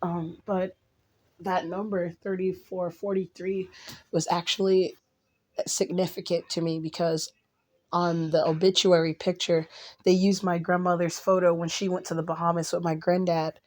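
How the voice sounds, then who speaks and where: A woman vlogging casually to her viewers, a little hoarse and raspy from a cold, rambling with restarts and blunt asides. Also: fairly low pitch, little pitch movement, moderate pace, a little mellow. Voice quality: slightly raspy. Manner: blunt and outspoken.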